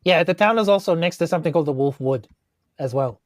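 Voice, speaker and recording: Man playing a rambling little old gnome, higher-pitched. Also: quick pace, lightly acted. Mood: musing. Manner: rambling, defensive, tentative. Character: little forest gnome sorcerer, centuries old, rambling, artistic. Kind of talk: improvised tabletop role-play.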